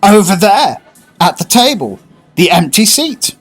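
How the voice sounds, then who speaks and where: A man's voice: sits high, big pitch swings, measured pace, slightly rough, soft background music, heavily acted. Man playing swooping, grandiose gourmet. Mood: rapturous, knowing. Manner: grandiose, florid. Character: rapturous, pompous male gourmet, restaurant regular. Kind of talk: radio horror drama acting.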